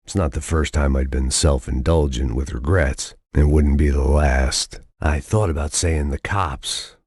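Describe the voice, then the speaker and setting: An actor playing a weary, cynical middle-aged ex-cop who narrates in a deadpan, bitter, self-mocking way. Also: male voice, very deep voice, unhurried, husky, no room sound, studio quality, heavily acted. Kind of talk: hard-boiled video game narration.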